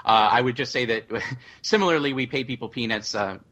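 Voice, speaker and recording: A man with a bright, forward voice at an ordinary male pitch, chatting as a producer on a panel. He explains things like a storyteller, animated and repeating his openings as he goes. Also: a little fast. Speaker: audio drama writer-producer, panel guest. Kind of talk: casual panel discussion.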